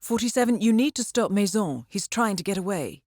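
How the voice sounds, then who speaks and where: An actress playing a cool, composed intelligence handler in a video game, briefing an assassin in a measured, dryly ironic way. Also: female voice, mid-range voice, lively intonation, darkish tone, dry recording, clean studio sound, close to an everyday voice. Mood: urgent. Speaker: mission handler.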